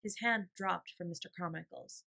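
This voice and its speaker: A woman's voice: fairly low pitch, normal speed, gravelly, pushed and bright. A woman reading a bedtime story as scripted audiobook narration.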